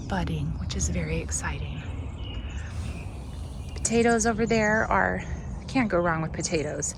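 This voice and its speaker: A woman's voice: medium pitch, noisy background. A woman talking casually outdoors as she hosts a home garden tour, chatting and musing aloud as she looks around. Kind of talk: casual walk-and-talk vlog.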